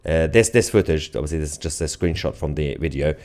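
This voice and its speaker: A man's voice: a bass voice, fast talker, darkish tone. A man hosting a live news commentary show, speaking to viewers in a sardonic, mocking and emphatic way. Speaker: host of online political news show.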